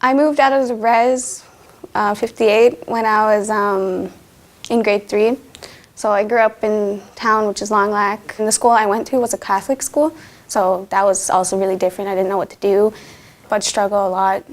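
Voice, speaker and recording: A woman's voice: mid-range voice, steady tone, moderate pace. Reflective woman student, plain-spoken. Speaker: student interviewee. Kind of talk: documentary interview.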